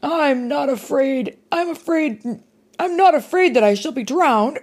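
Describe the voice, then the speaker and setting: A woman's voice: sits high, animated, unhurried, a little mellow, voice pushed up. A woman voicing a huge, boastful male giant who whines about hunger in a storybook reading. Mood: whining, pleading. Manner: whining, then gleeful.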